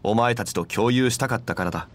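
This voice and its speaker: A man playing a composed, scholarly gentleman in an anime game, speaking formally and with measured dignity. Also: medium pitch, a little hiss or hum, lightly acted. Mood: composed, admiring. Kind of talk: anime game character acting.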